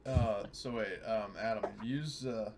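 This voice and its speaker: A man's voice: fairly low pitch, unhurried, background noise. A man bantering casually as a player in a tabletop roleplaying game.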